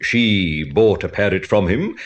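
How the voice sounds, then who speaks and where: A man's voice: lowish voice, animated, unhurried. An older man performing in a 1980s audio play as a courteous, theatrical actor who speaks expansively. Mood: earnest, explaining. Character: theatrical older male actor who has lost his parrot.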